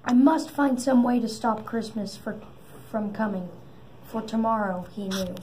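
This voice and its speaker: Woman, storybook reading, slow halting phrases, fairly high pitch, lightly noisy amateur recording. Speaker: storybook reader. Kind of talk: reading a children's story aloud.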